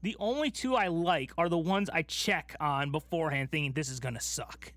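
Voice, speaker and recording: Man, higher-pitched voice, podcast co-host, casual movie chat, animated storyteller, dry close sound. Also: normal speed, no room sound.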